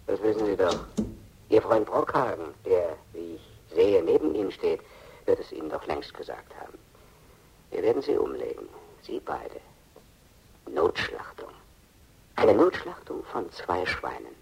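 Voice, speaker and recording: A man's voice: deep voice, sing-song, measured pace, creaky, long pauses, darkish tone, breathy edge, exaggerated voice, poor audio. An actor playing a menacing extortionist in a radio crime drama, mocking his victims with savoured mock-politeness. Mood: mocking menace. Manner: sardonic mock-politeness, savouring each threat.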